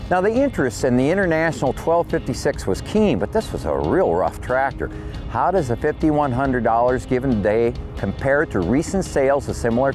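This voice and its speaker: A man's voice: medium pitch, darkish tone. A man hosting a farm show, interviewing a guest and narrating with folksy interjections.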